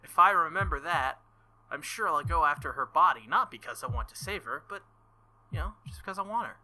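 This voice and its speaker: A man's voice: on the high side, lively intonation, fairly quick, sharp tone, low-quality recording. A man reading a visual novel aloud in a playthrough, adding playful, joking comments. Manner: playful, joking asides and laughter. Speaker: let's play streamer.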